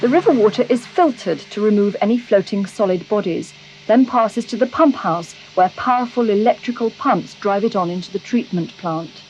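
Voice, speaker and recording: A British woman reading scripted narration for an educational film, explaining in a clear, measured way. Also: medium pitch, darkish tone, muffled recording, slight background noise. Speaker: documentary narrator. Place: close, dry voice-over recording.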